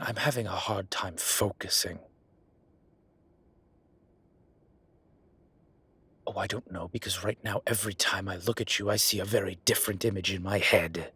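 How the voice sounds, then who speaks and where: Low, husky flirtatious incubus professor played by a man. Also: pushed and bright, full character voice. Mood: flustered, teasing. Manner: teasing, playful, breathy asides. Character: flirtatious, wry male immortal incubus demon professor. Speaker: voice actor in an audio roleplay. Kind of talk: intimate audio drama roleplay, conversational.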